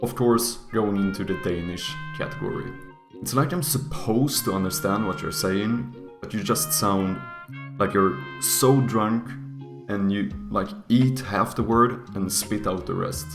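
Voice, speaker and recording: A young Swedish-accented man, joking and teasing as he ranks things. His voice sits very low and gravelly, with lots of fry. It sounds roomy and echoey, with faint guitar music behind him. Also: measured pace. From Sweden. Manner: joking, teasing, mock-harsh. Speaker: YouTuber. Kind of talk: casual tier-list commentary.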